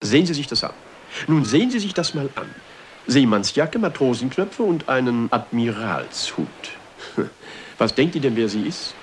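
A man's voice: mid-range voice, sing-song, normal speed, natural voice. A man playing a blustering, scornful ship's captain in a German crime radio play. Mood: mocking. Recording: hissy old radio-play recording.

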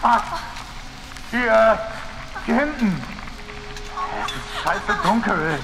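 A man's voice: high-pitched, big pitch swings, drawn-out words, creaky, full character voice. A man acting in a German horror audio drama as an agitated, stubbornly determined young man lost in a cave, speaking urgently. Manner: urgent, insistent. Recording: long cave echo, faint noise.

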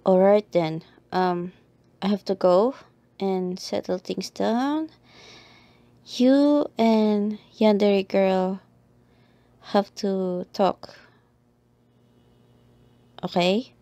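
A woman playing a reluctant, flustered tsundere girl in an ASMR roleplay, speaking haltingly in short clipped phrases. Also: mid-range voice, mellow, no echo.

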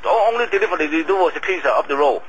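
Fast-talking man on a noisy phone. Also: a little high, expressive, fairly bright tone. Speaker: takeaway worker taking orders. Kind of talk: business phone call. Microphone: telephone. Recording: phone call with background noise.

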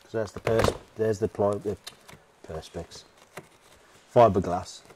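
A man's voice: lowish voice, steady tone, slow, background noise. A British man giving a casual hands-on how-to commentary, informally thinking aloud as he works. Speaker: DIY vehicle vlogger.

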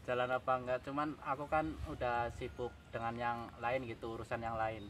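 A man's voice: medium pitch, little pitch movement, moderate pace, fairly bright tone. A young man in casual vlog chat, recorded outdoors on a camera a few metres away. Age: young adult. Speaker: vlogger selling his camera gear. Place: outdoors on grass by a rice field. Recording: noisy outdoor camera audio.

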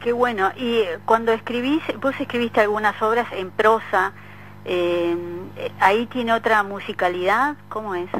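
A woman's voice: medium pitch, normal speed, low-quality recording. A woman radio interviewer with a Rioplatense Argentine accent speaks in a mid-range voice. She puts her questions together conversationally and a little tentatively. There is a faint noise behind her. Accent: Rioplatense Argentine Spanish.

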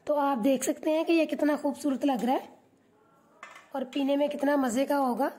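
A woman hosting a cooking channel, calmly narrating a recipe step by step as a voice-over. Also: high-pitched, moderate pace. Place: home kitchen.

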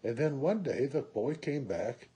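A man in his sixties reading a children's picture book aloud at home with slow, deliberate, expressive phrasing. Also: mid-range voice, breathy edge. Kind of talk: read-aloud children's story. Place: small room at home, indoors.

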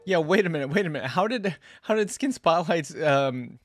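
A man's voice: fairly high pitch, expressive, moderate pace, faint music behind. A young man giving amused, informal reaction commentary into a close desk microphone indoors. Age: young adult. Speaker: reaction video host. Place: indoor streaming setup. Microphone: close desk microphone with headphones.

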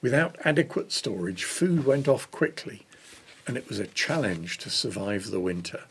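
Low, gravelly older man reading. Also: sing-song, normal speed, a little hiss or hum. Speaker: reader of a church Lent devotional. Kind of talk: reading a devotional book aloud.

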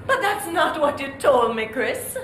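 A stern, suspicious Jamaican lady of the house in a stage play. Her voice is high and her delivery slow, precise and dry, heard in a very echoey theatre. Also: very animated, fairly bright tone, a little hiss or hum, full character voice. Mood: dry, skeptical. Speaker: stage actor. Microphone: distant stage pickup. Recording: echoey theatre from far off, music behind.

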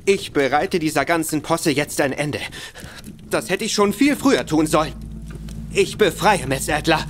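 An actor playing a timid, easily shocked young gentleman accomplice who hesitates and stammers. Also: on the high side, animated, moderate pace, a little hiss or hum, close to an everyday voice. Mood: resolute. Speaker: reluctant accomplice. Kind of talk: studio audio drama acting.

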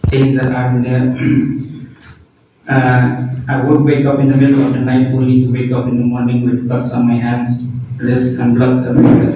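A man, steadily reading a personal recovery testimony at a podium, with frequent throat clearing. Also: medium pitch, noisy background. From India. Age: 20s. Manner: steady, reads slowly with small restarts, clears throat often. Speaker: recovering addict giving testimony. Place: indoor room at a podium. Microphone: far camera. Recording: echoey room from far, dull lo-fi.

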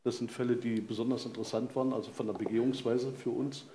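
A man in his sixties with a mid-pitched voice answers as an expert guest. He explains plainly and matter-of-factly in a live stage interview, with noticeable venue noise behind him. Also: steady tone, quick pace, dark tone. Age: 60s. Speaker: forensic pathologist, guest. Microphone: headset.

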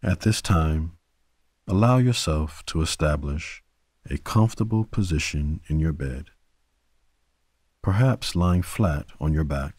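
A man with a very low, mellow voice guides a bedtime meditation. He speaks gently and soothingly, letting each phrase settle before the next. The sound is close and very dry. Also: lively intonation, no room sound. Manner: gentle, soothing, unhurried delivery with long pauses. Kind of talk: guided sleep meditation narration.

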